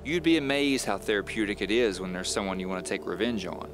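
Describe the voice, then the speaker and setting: Man acting as courteous male novelist, low pitch, dry humor, audio drama, faint music underneath. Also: expressive, quick pace, lightly acted. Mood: wry. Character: polite, wry male thriller novelist, new in the neighborhood. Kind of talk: audio drama first-person storytelling.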